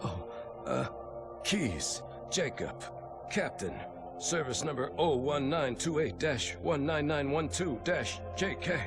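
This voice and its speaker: An actor playing a captured military captain in a video game, tormented but defiant, speaking haltingly through pain as his memory slips. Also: on the high side, animated. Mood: dazed, confused. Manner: halting, anguished, repeating himself. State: in pain, straining. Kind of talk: dramatic video game acting. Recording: loud music over the voice.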